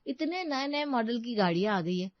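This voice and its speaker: Woman voicing a showy, high-pitched young bride vlogger. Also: lively intonation, moderate pace, no room sound, lo-fi sound, lightly acted. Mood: disdainful. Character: young newlywed woman vlogger, eager, vain and bossy. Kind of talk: animated moral-story dialogue.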